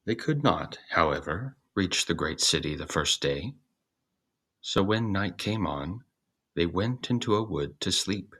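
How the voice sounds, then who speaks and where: A man's voice: low-pitched, animated, a little slow, a bit bright, slightly rough. A man reading a fairy tale aloud as scripted narration. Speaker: storyteller, reader.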